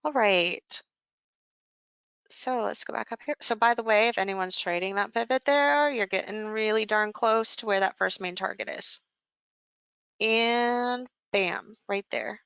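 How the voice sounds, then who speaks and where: A woman's voice: medium pitch, measured pace, vocal fry, fairly bright tone, clear, resonant tone, dry recording, dull audio. A woman coaching a live session, explaining things informally and conversationally as she thinks aloud over charts.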